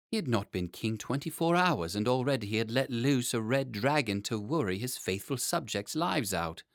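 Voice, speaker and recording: A man reading a children's story as an expressive audiobook narrator. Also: medium pitch, dry recording, clean studio sound.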